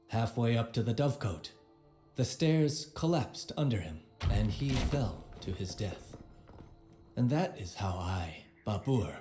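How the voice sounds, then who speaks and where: Game narrator: male actor as proud old warrior king recalling his life, wry and measured, soft-edged tone, music underneath. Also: medium pitch, lightly acted. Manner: measured, theatrical, wry storytelling. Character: aging proud Timurid conqueror recounting his own life. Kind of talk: dramatic scripted narration of a memoir. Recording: roomy voice over background music.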